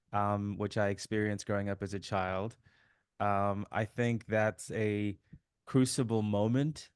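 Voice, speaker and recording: A man in his fifties, originally from Sri Lanka, telling his life story as an interview guest, with relaxed fillers. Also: on the low side, smooth, no room sound, clean studio sound. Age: 50s. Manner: warm storytelling, reflective, many fillers. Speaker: guest, author. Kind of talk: casual interview chat.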